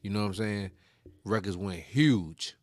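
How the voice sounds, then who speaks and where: A man co-hosting a casual podcast chat, arguing his points and laughing, saying he is high. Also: unhurried, dry recording. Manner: argumentative, animated, laughing. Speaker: podcast co-host.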